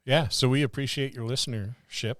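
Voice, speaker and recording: A man's voice: medium pitch, steady tone, brisk, no room sound. A middle-aged man co-hosting a podcast, chatting casually about tabletop gaming with dry, wry teasing. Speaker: podcast co-host.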